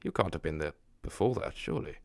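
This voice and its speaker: A man's voice: very deep voice, lively intonation, fast, darkish tone, no room sound, studio quality. A man in his thirties playing a suspicious, irritable homeowner in an audio drama, with clipped, defensive questioning. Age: 30s.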